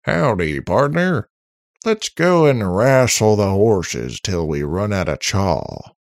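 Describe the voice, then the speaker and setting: A man's voice: fairly low pitch, very animated, slow pace, vocal fry, dry recording, clean studio sound, voice pushed up, full character voice. A man voicing a cheeky, needling robot in a story, with a theatrical, teasing manner and shifting put-on accents. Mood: playful swagger. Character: cheeky, shape-shifting, needling male robot with swaggering temper.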